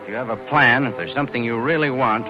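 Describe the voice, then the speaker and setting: An actor playing a cold-blooded, scheming young bank clerk who narrates in cynical, slangy 1940s radio noir style. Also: male voice, mid-range voice, fast, natural voice. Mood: cynical, confiding. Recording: dull lo-fi 1940s radio, loud music.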